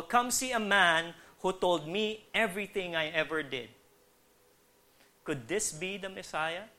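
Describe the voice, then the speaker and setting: Man, Filipino accent, preacher, higher-pitched bright voice, conversational teaching, acted-out quotes. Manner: conversational, acts out quoted dialogue. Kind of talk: sermon, teaching with Bible readings and questions to the congregation.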